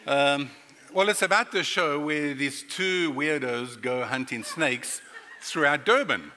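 A man's voice: a little high, slow, sharp tone, long reverb tail. A middle-aged man from Africa, preaching a playful sermon that speaks directly to his congregation in a church sanctuary. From South Africa. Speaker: pastor.

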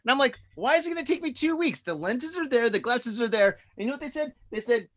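A man's voice: extremely high pitch, expressive, quick pace, fairly bright tone. A man in his forties telling a rambling, profane story in casual podcast chat, peppering it with "dude" and "man". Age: 40s. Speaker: podcast co-host.

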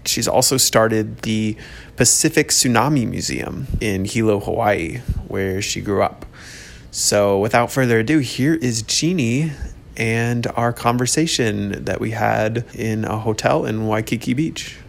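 A man's voice: lowish voice, unhurried, creaky, a little hiss or hum. A man hosting a podcast, introducing and questioning a guest in a conversational style. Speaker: podcast host.

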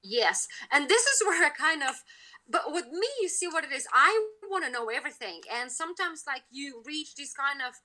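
A woman's voice: sits high, animated, normal speed, bright, forceful tone. A woman from Lithuania with a Lithuanian accent, a nutritionist and podcast guest, talking casually with many fillers and restarts. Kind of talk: casual interview talk.

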